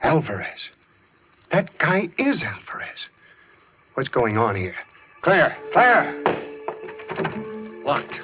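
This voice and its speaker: An earnest, protective husband in a vintage radio thriller. His fairly high voice moves with very lively pitch, theatrical and excitable, on a dull old recording. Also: slow pace, long pauses, soft background music, heavily acted. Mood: frantic, angry. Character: anxious, protective young husband, male. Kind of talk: classic suspense radio drama acting.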